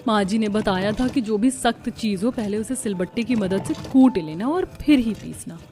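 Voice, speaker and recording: A woman voicing a young, put-upon, complaining daughter-in-law in a Hindi animated family story. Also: medium pitch, normal speed, faint music behind, close to an everyday voice. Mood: struggling.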